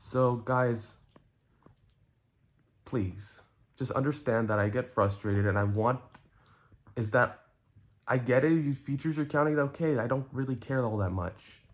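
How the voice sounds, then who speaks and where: A man who creates online content, talking casually and earnestly to his viewers in a halting way, repeating phrases for emphasis. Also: medium pitch. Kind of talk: casual explanatory rant to viewers.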